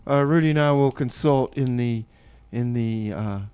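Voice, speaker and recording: A man's voice: mid-range voice, moderate pace, darkish tone, clean tone. A man hosting a community radio show with casual, improvised chat and announcements, hesitant and folksy, often repeating his phrases.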